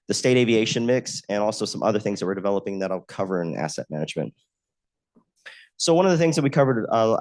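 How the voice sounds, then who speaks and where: A man giving a presentation at a podium, a bit hoarse from a cold, rambling with filler words like effectively. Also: on the low side. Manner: rambling, leans on "effectively" and "specifically". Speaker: state aviation manager presenting. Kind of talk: conference presentation. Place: meeting room at a podium. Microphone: podium mic.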